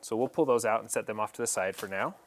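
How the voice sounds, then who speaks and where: A man's voice: lowish voice, fast talker, slight background noise. A man presenting an instructional equipment setup tutorial, explaining each step in a plain, practical way.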